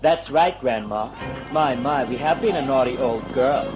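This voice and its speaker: A man's voice: mid-range voice, a little slow, lo-fi sound, lightly acted. A man playing a bullying, cocky son with a drawl in an audio drama. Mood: mocking. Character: bullying, cocky undead son who plays scientist. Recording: music under the voice.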